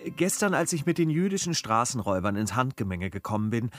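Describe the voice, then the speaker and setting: Principled gentleman, cautious and polite, lively intonation, period radio play, faint music. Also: mid-range voice. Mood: polite, hesitant. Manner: polite, deliberating, measured wording. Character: courteous, cautious, principled gentleman traveller in classic comedy. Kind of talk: German radio play of classic comedy.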